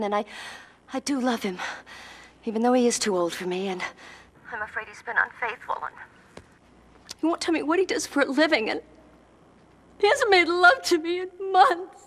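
A woman's voice: fairly high pitch, animated, quick pace, a bit bright. A woman playing a melodramatic young soap-opera wife, overwrought and clingy. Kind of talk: soap-opera parody acting.

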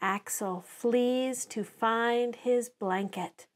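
Lady reading a kids picture book out loud, really slow n deliberate, voice a bit high, expressive storyteller style. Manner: animated, deliberate storytelling with dramatized sound words. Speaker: children's author reading own book aloud. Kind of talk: expressive picture-book read-aloud for preschoolers.

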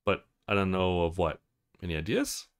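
A man voicing a laid-back, noncommittal young man in a visual novel, in a low-key, offhand manner. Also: very animated, normal speed, smooth.

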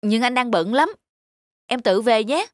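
A man voicing a carefree young husband in an animated drama, speaking in a casual, expressive way. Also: extremely high pitch, unhurried, slight background noise. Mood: evasive. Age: young adult. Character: carefree young husband, easily irritated by his wife. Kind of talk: animated moral drama dubbing.

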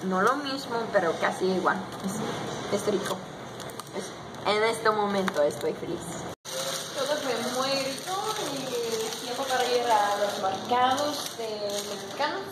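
A young woman, a native English speaker, vlogging slowly and haltingly in learner Spanish while holding her camera at arm's length in a car and in shops. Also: on the high side, heavy background noise. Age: young adult. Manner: slow and halting, with many fillers. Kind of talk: casual vlog in learner Spanish. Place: car, restaurant, parking lot and grocery stores. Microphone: handheld camera at arm's length.

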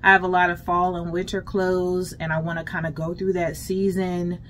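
A middle-aged American woman chatting casually and emphatically to her viewers as a lifestyle vlogger, in an unhurried way. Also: lowish voice, little pitch movement, fairly bright tone. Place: closet at home. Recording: clear background noise, home vlog.

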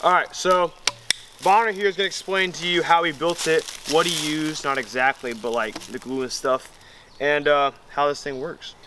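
A young man with a fairly high voice presents a student project like a casual vlog. The camera recording outdoors carries clear background noise. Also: fairly even intonation, moderate pace. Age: young adult. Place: outdoors in woods. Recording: noisy outdoor camera sound.

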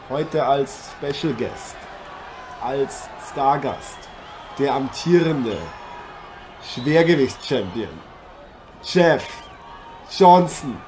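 A man playing an eager, chatty boxing commentator and interviewer in an improvised radio play, polite and halting. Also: fairly high pitch, darkish tone. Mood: excited, welcoming. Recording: noisy, with arena ambience behind.

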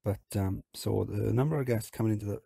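A man with a low, gravelly, husky voice, hoarse and croaky from being unwell. He talks through his game in a relaxed, chatty way, and the sound is dry and close. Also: fast talker, no echo. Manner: relaxed, chatty, explains as he plays. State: hoarse from illness. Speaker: game video host. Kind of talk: casual game commentary.

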